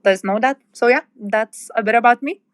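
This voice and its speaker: A young woman from Lithuania with a smooth, clear voice introduces herself in a light, unhurried way. The sound is very dry and close, with no room around it. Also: on the high side, no echo. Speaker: guest account manager. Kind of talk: casual self-introduction.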